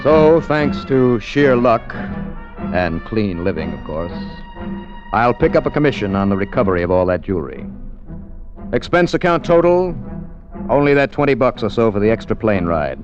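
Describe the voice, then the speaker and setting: A man's voice: fairly low pitch, very animated, normal speed. An actor playing a wry male investigator who narrates his own case in 1950s radio drama. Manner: wry, dryly joking. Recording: dull lo-fi old radio recording with music.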